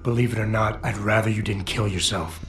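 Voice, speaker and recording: A man's voice: on the low side, fairly even intonation, fairly quick, faint music behind, lightly acted. A man acting a stern, terse cyborg agent. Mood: firm, persuading. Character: tough, blunt male cyborg agent, stern and controlled. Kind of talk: video game cutscene acting.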